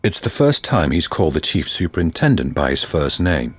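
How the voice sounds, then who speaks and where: An actor as the dry, wry narrator of a detective radio drama, reading scripted narration. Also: male voice, extremely low pitch, sing-song, brisk, slightly rough, no echo, full character voice. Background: music.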